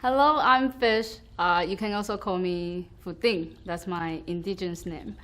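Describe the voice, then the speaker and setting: A woman's voice: fairly low pitch, a little slow, a bit bright. A young woman from Taiwan, a school staff member, reflecting on her identity in a hesitant, thoughtful way with many fillers. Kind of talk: reflective personal testimony.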